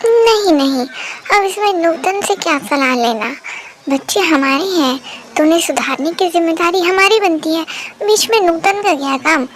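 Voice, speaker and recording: A woman's voice: extremely high pitch, lively intonation, normal speed, slight background noise. A woman voicing a worried, stubborn village mother in a children's moral story cartoon.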